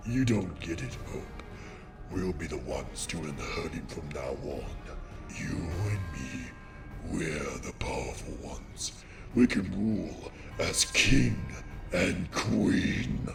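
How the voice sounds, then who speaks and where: A brooding unicorn tyrant in an animated comic drama, very deep and gravelly. He speaks slowly, with bitter menace and a melodramatic edge, over background music. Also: full character voice. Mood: sinister. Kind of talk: melodramatic comic fandub acting.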